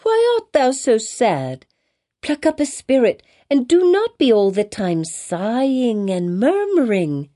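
A lively little girl played by a woman narrator, pitched high and full of curiosity. She exclaims and questions insistently, with a playful, pert air from an old storybook. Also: slow pace. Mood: pert, chiding. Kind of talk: dramatized audiobook reading in archaic English.

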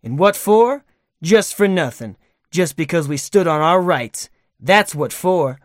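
A man's voice: a little high, expressive, a little slow, dry recording, clean studio sound, voice pushed up. A man voicing a gloating river thief who mocks a captive in a raised, sneering voice for a storybook reading. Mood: mocking gloat. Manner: mocking, gloating. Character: gloating, cool-headed river thief with a lantern.

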